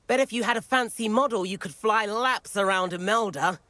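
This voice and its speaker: A man's voice: high voice, normal speed, bright, forceful tone, no echo. An actor voicing a friendly, chatty teenage boy student in a video game.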